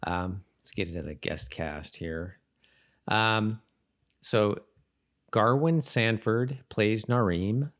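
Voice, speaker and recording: A man co-hosting a podcast in a casual, chatty style, often halting and rephrasing as he goes. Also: lowish voice. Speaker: podcast host. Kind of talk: casual co-hosted chat about a TV show.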